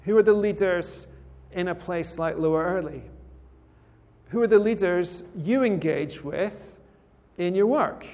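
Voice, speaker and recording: A man from rural Scotland preaching a sermon in a relaxed, conversational way with touches of dry humor. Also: sits high. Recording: long hall reverb.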